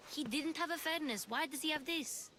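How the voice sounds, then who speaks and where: High-voiced plucky little girl. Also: moderate pace, pushed and bright, slight background noise. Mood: curious. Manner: earnest, childlike. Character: eager, plucky little girl, sneaky helper. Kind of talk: video game cutscene acting. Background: background music.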